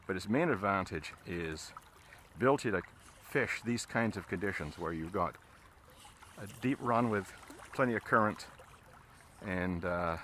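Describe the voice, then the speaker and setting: A man's voice: low-pitched, a little hiss or hum. A man out on a river, casually explaining fly casting step by step while he fishes from a boat. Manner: relaxed, explains steps as he casts. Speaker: fly fishing instructor. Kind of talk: casual instruction while fishing.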